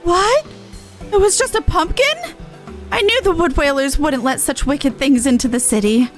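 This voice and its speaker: Woman playing an excitable townsperson, pitched high. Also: moderate pace, soft background music, natural voice. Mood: astonished. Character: excitable, easily amazed festival-going townsperson.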